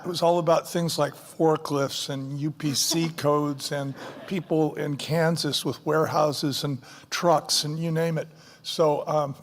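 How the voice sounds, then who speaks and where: A man's voice: fairly high pitch, big-room sound. An older man, a company co-founder, talking in an interview in a wry, emphatic way with punchy lists. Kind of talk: conversational interview.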